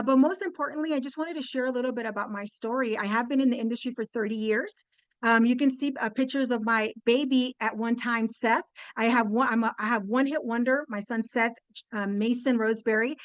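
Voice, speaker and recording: Woman, 50s, Spanish native speaker; lively guest presenter on a video call, high-ish voice, fillers and restarts, dry room. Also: dry recording. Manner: upbeat, chatty, lots of fillers and restarts, addresses "you guys". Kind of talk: webinar presentation.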